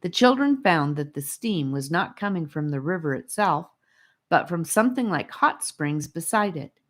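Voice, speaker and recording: A woman with a low voice narrates a children's fantasy story. Her reading is expressive and very animated, moving freely up and down in pitch as an audiobook narrator does. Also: normal speed.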